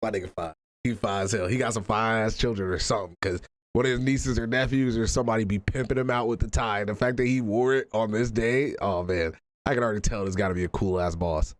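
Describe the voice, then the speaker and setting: Excitable, slangy man reacting casually. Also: on the low side, quick pace, no room sound. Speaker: reaction streamer.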